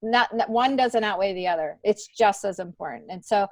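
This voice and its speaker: A middle-aged woman talks as a guest on a podcast, in a casual and candid style. Her voice has an ordinary pitch for a woman and sounds dry and close, with no room around it. She speaks informally, with plenty of conversational filler. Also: moderate pace, darkish tone, no echo. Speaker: podcast guest, stand-up comedian.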